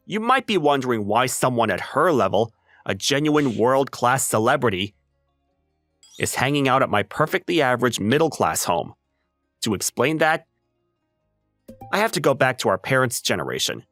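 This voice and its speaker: A man playing an ordinary, level-headed high school boy who narrates a manga dub story in a wry, casual way. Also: fairly bright tone, dry recording. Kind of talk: scripted first-person story narration and dub acting.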